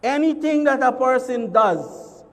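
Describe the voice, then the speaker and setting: A man in his thirties preaches from a pulpit in a spacious mosque hall, his voice pitched very high. He repeats key phrases and glosses each Arabic word in English. A long reverb trails behind every sentence. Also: animated, a little slow. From Guyana. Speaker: imam giving a Friday sermon. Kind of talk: sermon. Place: large mosque hall with a crowd seated on carpets.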